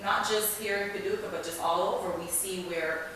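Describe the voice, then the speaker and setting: A woman hosts an interview show, talking conversationally at a medium pitch. The sound is strongly reverberant and a little distant from the mic, with some hiss underneath. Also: fairly even intonation, moderate pace, fairly bright tone. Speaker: talk show host. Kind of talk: hosted talk show interview. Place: indoors, echoey room.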